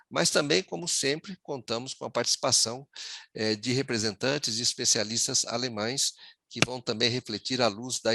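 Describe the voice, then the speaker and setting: A man, moderating a formal webinar over a video call in a measured way, often pausing on fillers. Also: medium pitch, gravelly, sharp tone, no echo. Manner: measured, with frequent hesitation fillers.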